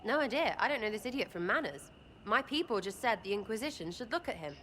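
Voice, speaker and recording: Brief: an irreverent woman archer, high-ish voice, mocking rambling banter. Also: expressive, fast, a bit bright, slight background noise.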